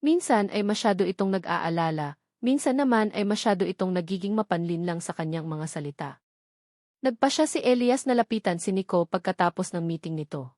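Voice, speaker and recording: A woman's voice: lowish voice, animated, a little fast, a little mellow, no room sound, studio quality. A woman reading a scripted romantic drama story as its narrator.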